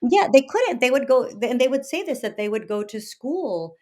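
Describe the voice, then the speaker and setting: A woman in her early fifties from Texas talking conversationally as a podcast guest, emphatic and often halting to correct herself. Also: high-pitched, lively intonation, darkish tone. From Texas, United States. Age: early 50s. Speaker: podcast guest, author and meditation teacher. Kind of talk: conversational interview, personal storytelling.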